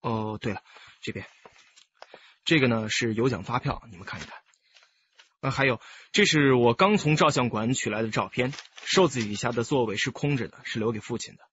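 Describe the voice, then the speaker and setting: A man's voice: medium pitch, lively intonation, a bit bright, lo-fi sound, a little hiss or hum, close to an everyday voice. An actor playing a composed, candid young male music teacher, speaking politely and carefully in a radio drama. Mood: earnest, reflective. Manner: polite, measured, explanatory.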